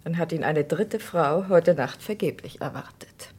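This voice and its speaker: An actress playing a cool, barbed wife who is a wealthy doctor, with clipped, pointed delivery in a vintage crime radio play. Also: female voice, low-pitched, unhurried, a little mellow, slightly rough. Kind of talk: vintage German crime radio drama acting.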